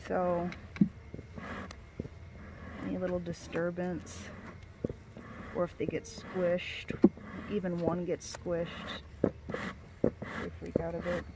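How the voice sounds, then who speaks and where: A woman's voice: lowish voice, completely flat delivery, slow, long pauses, heavy background noise. Woman beekeeper outdoors among buzzing bees. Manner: chatty, explains as she works. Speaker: beekeeper, co-host. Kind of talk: casual explaining during a hive inspection. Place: outdoors at a backyard beehive. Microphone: handheld camera mic, crinkling gloves.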